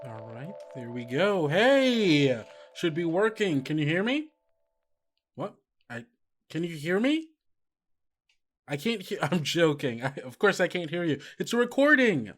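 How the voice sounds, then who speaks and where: High-voiced man chatting casually as podcast host. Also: sing-song, measured pace, a bit bright, faint music behind. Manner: playful, self-deprecating, rambling. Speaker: podcast host and game master.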